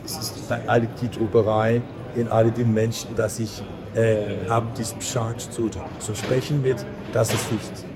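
A man from Belgium, a podcast guest who speaks halting with many fillers and restarts. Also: fairly low pitch, little pitch movement, gravelly. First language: not German. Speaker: podcast guest, editor of a print-industry film channel. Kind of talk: casual interview chat. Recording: crowd noise behind.

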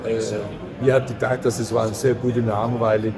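A man from Belgium, a podcast guest who speaks halting with many fillers and restarts. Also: lowish voice, little pitch movement, gravelly. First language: not German. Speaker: podcast guest, editor of a print-industry film channel. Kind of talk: casual interview chat. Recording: crowd noise behind.

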